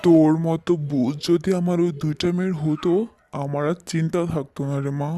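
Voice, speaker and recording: A man's voice: on the high side, steady tone, brisk, warm tone, slight background noise, natural voice. A man voicing a kindly, weary middle-aged father in a cartoon fairy tale, affectionate and plaintive.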